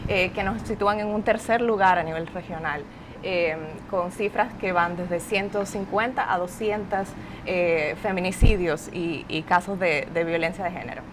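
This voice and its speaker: A woman hosting a panel discussion, asking questions deliberately with frequent hesitations. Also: on the low side, background noise. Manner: deliberate, hesitant with many fillers. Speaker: host.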